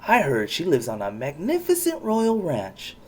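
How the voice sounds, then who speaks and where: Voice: mid-range voice, huge pitch swings, a little slow, a little mellow, slight background noise, pitched above the performer's own voice. A reader voicing a snooty, jealous, boastful female show pony from a children's storybook. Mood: jealous, haughty. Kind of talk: children's storybook read-aloud.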